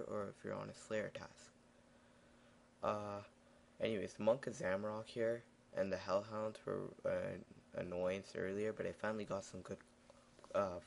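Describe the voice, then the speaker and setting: A young man recording a casual gameplay voiceover, raspy and tired in the morning, rambling with many fillers. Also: lowish voice, darkish tone, a little hiss or hum. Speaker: gaming video narrator.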